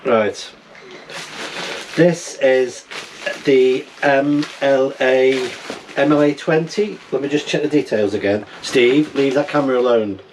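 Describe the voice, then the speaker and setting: A middle-aged man chatting casually as he tinkers, halting and thinking aloud, recorded indoors on a camera mic. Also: mid-range voice, darkish tone, slight background noise, poor audio. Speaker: amateur radio vlogger. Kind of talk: casual vlog chat while tinkering and unboxing. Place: small wooden cabin, indoors.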